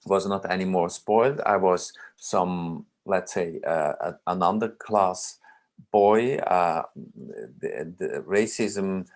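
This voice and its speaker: A man in his sixties, originally from Iraq, speaking as a film director at a remote panel, slow and halting with many fillers and restarts. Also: low-pitched.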